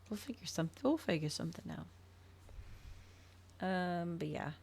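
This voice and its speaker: Low, creaky-voiced woman chatting on stream. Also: animated, slow pace, a little mellow, slight background noise. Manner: rambling, trailing off, thinking aloud. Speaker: livestreamer hosting an ASMR and gaming channel. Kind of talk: casual livestream chat to viewers.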